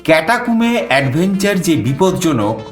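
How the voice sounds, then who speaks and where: Animated male storyteller in an echoey space. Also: a little high, moderate pace, faint music behind. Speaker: storyteller narrator. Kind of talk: dramatized first-person story narration.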